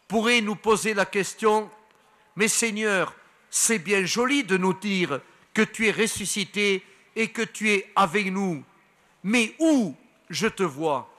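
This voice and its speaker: An older man, a priest, preaching slowly and solemnly to the faithful at an outdoor shrine. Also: high voice, bright, forceful tone. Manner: slow, solemn, addresses the faithful. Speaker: priest leading the rosary. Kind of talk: prayer, hymn and short homily. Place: outdoor shrine grotto before a crowd. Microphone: headset mic and lectern mic. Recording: roomy outdoor sound with crowd ambience.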